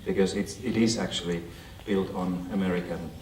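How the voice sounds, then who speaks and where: A man's voice: sits low, fairly even intonation, moderate pace, darkish tone, a little hiss or hum. A man from Finland with a Finnish accent, speaking English as a guest speaker who gives a conversational talk and asks the audience questions. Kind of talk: lecture presentation to an audience. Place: lecture hall. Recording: echoey hall, recorded from a distance.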